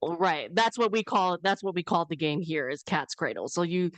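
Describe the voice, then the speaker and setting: Low-voiced woman podcast guest. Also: animated, brisk, no room sound. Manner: explains patiently, many fillers. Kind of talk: casual podcast chat.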